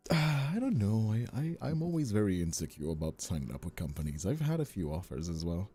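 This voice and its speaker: A man's voice: low-pitched, big pitch swings, quick pace, warm tone, slightly rough, dry recording, soft background music. A man who streams and voice acts, chatting casually and at some length with viewers. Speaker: streamer and ASMR voice actor. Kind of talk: casual livestream chat answering viewers.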